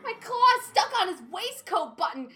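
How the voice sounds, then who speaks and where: Piercingly high, jittery squirrel. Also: female voice, very animated, moderate pace, sharp tone, full character voice. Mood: disgusted panic. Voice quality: squeaky, piercing. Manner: frantic exclamations and colorful mild oaths. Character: jittery, squeamish little female squirrel, comically panicky. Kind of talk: dramatized comic storytelling.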